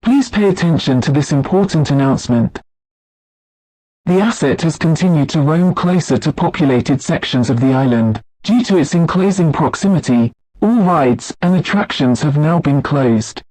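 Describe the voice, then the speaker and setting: A man playing a theme park emergency announcer, reading scripted alerts in a formal, measured, impersonal manner. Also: on the high side, expressive, darkish tone, no echo, poor audio.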